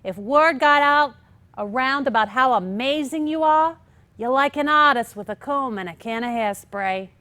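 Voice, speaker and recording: A woman's voice: high-pitched, moderate pace, bright, forceful tone. A woman acting a teasing, encouraging housewife friend in a staged comedy reading. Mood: eager, encouraging. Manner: persuasive, playful.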